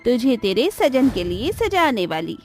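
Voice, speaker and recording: A woman voicing a pushy, gossiping village woman in a horror cartoon, brisk and insistent. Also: on the high side, very animated, a little mellow, faint music behind. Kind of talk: Hindi horror cartoon acting.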